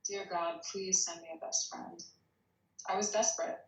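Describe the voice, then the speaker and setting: A woman's voice: on the low side, steady tone, moderate pace, faint music behind, lightly acted. A woman reading the part of an earnest, devout teenage girl recalling her past at a script read. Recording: echoey, roomy sound.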